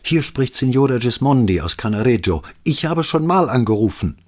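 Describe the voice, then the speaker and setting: A man voicing a furious, near-hysterical woman caller whose voice grows shrill with rage. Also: sing-song, normal speed, a little mellow.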